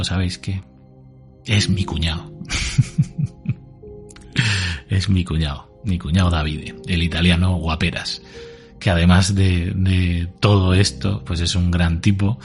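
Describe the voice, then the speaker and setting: Deep-voiced Spanish podcast host. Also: male voice. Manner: lively, playful build-up. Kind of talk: introducing a guest.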